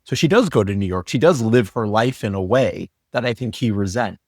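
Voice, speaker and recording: Guy with a lowish voice and lots of fry, chatting casually as a podcast guest. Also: animated, a little fast, no room sound, studio quality. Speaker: story editor, podcast guest. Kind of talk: casual interview chat.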